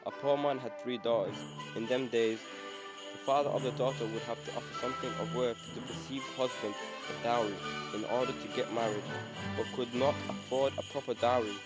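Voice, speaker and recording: A man reading documentary narration in a flat, even way. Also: medium pitch, a little fast, over loud music, rough recording.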